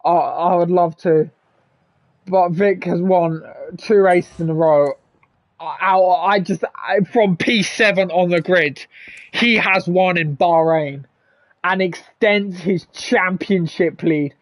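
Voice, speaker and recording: A man who commentates races, his voice hoarse and strained from shouting, rambling and stammering through a casual wrap-up. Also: high-pitched, slow, dry recording. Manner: stammering, rambling, restarts often; swears, laughs at himself. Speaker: race commentator. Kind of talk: casual post-race wrap-up. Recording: dull lo-fi mic.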